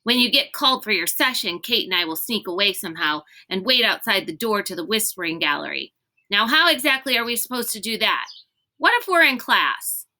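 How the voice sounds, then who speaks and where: A woman's voice: medium pitch, expressive, a little fast, sharp tone, voice pushed up. A woman voicing a blunt, sarcastic young girl in a storybook, putting pointed, exasperated questions to the others. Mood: skeptical.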